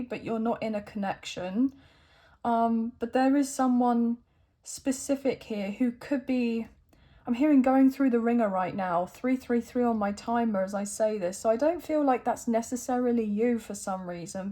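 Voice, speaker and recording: A woman with a croaky throat giving a chatty tarot reading to camera, thinking aloud as she draws cards. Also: fairly high pitch, mellow. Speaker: tarot reader.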